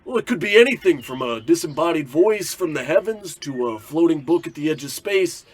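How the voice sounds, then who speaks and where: Man as a husky, earnest police sergeant-priest. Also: fairly high pitch, expressive, fairly quick. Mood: earnest, explaining. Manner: earnest, explanatory. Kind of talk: old-time radio comedy acting.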